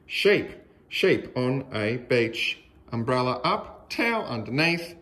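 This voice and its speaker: An Australian man reading a picture book aloud to young children in a deliberate, sing-song way with exaggerated emphasis. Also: mid-range voice, sing-song, bright, forceful tone.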